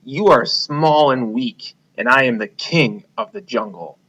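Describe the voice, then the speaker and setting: A man's voice: medium pitch, animated, measured pace, a bit bright. A man doing a boastful storybook lion king. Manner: boastful, mocking. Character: proud male lion, king of the jungle. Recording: dull lo-fi recording.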